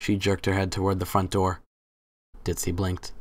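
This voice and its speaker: A man's voice: low-pitched, completely flat delivery, moderate pace, darkish tone. A man reading scripted third-person story narration as the narrator of a dramatic reading.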